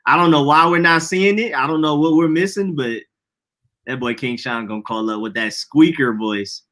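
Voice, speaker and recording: A man's voice: fairly high pitch, normal speed, fairly bright tone. A young man co-hosting a podcast in casual, joking chat, laughing often. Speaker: podcast co-host.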